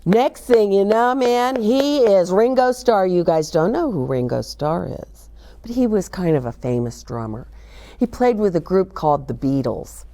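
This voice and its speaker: Unhurried, animated woman children's story host. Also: mid-range voice, slight background noise. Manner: expressive, talks directly to young listeners, asks questions. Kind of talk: read-aloud and chatty book talk for children.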